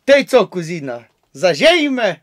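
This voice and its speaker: A man's voice: high-pitched, very animated, unhurried, fairly bright tone, dry recording, clean studio sound, heavily acted. A young man with a Kashubian accent acting a jovial, bantering villager in an amateur student audio drama. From Poland. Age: young adult.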